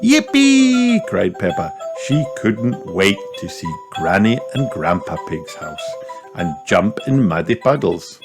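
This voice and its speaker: A man narrates a story for small children. His voice is fairly low and very slow, with plenty of lively rise and fall in an expressive read-aloud style. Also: over music. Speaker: storybook reader.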